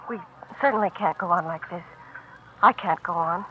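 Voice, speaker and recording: A woman's voice: on the low side, moderate pace, natural voice. An actress playing an aggrieved, insistent girlfriend in a 1950s radio melodrama, emphatic and reproachful. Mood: reproachful, demanding. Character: aggrieved, insistent secret girlfriend demanding change. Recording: tinny old radio recording, background noise.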